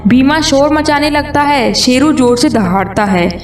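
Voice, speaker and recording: A woman with a fairly high voice narrates a scripted children's tale. She sounds roomy and a little away from the mic, with music clearly behind her. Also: measured pace, far from the mic. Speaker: storyteller.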